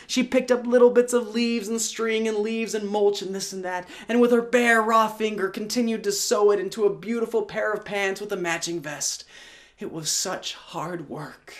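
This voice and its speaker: A man's voice: high voice, steady tone, normal speed, a bit bright. A man telling a folktale as a live storyteller, in a dramatic, theatrical, tongue-in-cheek way.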